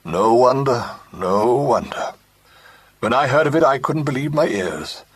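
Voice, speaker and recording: A man's voice: mid-range voice, animated, a little slow, husky, pitched above the performer's own voice. A man voicing a pompous older businessman who talks ponderously with a false heartiness. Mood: sympathetic. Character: pompous older male company partner.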